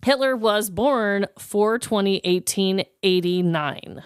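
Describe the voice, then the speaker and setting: A woman's voice: mid-range voice, slow pace, clear, resonant tone, no room sound, clean studio sound. A woman co-hosting a comedy podcast in casual, jokey chat, with a giggly, foul-mouthed manner. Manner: jokey, giggly, freely swearing. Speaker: podcast co-host.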